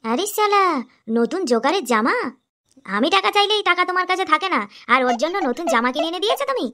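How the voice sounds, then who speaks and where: Woman as sulky, high-pitched cartoon layabout son. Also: lively intonation, fairly quick, sharp tone, exaggerated voice. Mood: resentful. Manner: sulky, crude, demanding. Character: jealous, idle adult son, sulky and threatening. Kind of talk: Bengali comedy cartoon dialogue.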